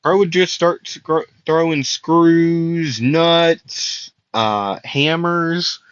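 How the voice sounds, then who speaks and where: A man's voice: fairly high pitch, extremely slow, a bit bright, dry recording, dull audio. A young man casually telling a fan-made anime story, rambling with fillers and frequent laughs, his voice getting worn out. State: losing his voice.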